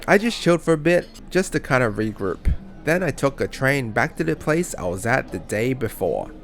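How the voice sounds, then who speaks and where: A man's voice: medium pitch, very animated, moderate pace, gravelly, faint music behind. A man narrating his own travel vlog as a voice-over, chatty and self-mocking, with casual swearing. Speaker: travel vlogger.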